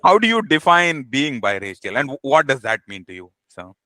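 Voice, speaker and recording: A male podcast host leads the talk in a halting way, stacking repeated words and "you know" fillers. His voice is bright and forward. The recording is dry and close, with no room sound. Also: mid-range voice, very animated, no echo. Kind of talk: casual interview talk.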